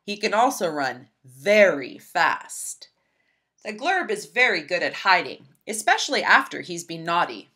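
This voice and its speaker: A woman reading a children's picture book aloud in an expressive, emphatic storybook style. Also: on the low side, unhurried. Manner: animated, emphatic, storybook delivery.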